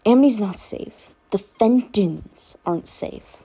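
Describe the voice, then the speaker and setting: A woman's voice: medium pitch, animated, takes it slowly, warm tone, husky, full character voice, low-quality recording. A woman voicing a protective, teasing older brother in a casual, firm way for a fan fiction reading. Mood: stern.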